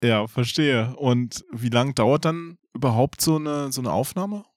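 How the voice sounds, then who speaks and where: A man's voice: mid-range voice, measured pace. A man asking questions as the interviewer in a casual conversation.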